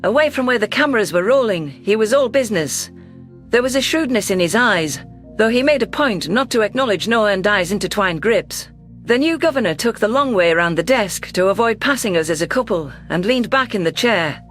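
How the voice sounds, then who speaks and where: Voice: mid-range voice, lively intonation, a little fast, fairly bright tone. Dramatic first-person story narrator over music. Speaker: narrator of sci-fi audio stories.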